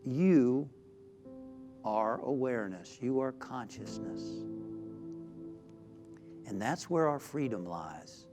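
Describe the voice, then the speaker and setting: A man, a minister, leading a spiritual guided meditation in a soothing, deliberate way with frequent pauses. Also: medium pitch, animated. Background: background music.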